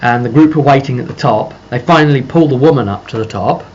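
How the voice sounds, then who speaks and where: A man with a mid-pitched voice gives a casual, unscripted recap as a game master. He rambles along, piecing the story together as he talks. The recording is dull and lo-fi, with faint noise behind him. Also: quick pace, darkish tone. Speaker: game master recounting a session.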